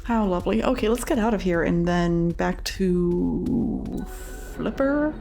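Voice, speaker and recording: A woman's voice: on the low side, measured pace, mellow, faint music behind. A woman giving chatty, casual gameplay commentary, muttering reactions to herself as she plays. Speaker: let's play host.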